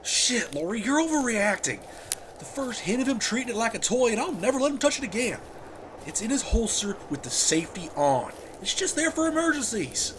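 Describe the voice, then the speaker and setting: A man's voice: very high-pitched, big pitch swings, fairly quick. A man playing an earnest, protective father who leads a survivor camp, firm and reassuring, in a comic dub. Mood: defensive. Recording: noticeable background ambience noise.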